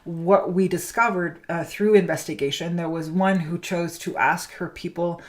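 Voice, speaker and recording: Danish woman coach, native Danish, low-ish voice, earnest monologue full of ums and restarts. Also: steady tone, normal speed. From Denmark. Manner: hesitant, many fillers and restarts; earnest, encouraging. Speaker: spiritual business coach. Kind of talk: solo podcast monologue.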